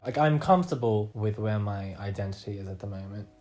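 A man's voice: fairly low pitch, brisk, a little mellow. A young man with an Australian accent talking informally as an interviewee, self-deprecating and often acting out bits of dialogue. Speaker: interviewee, artist. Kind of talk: casual conversational interview.

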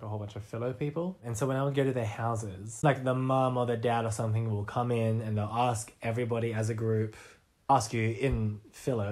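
A man's voice: medium pitch, darkish tone. A young man with an Australian accent talking informally as an interviewee, self-deprecating and often acting out bits of dialogue. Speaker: interviewee, artist. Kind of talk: casual conversational interview.

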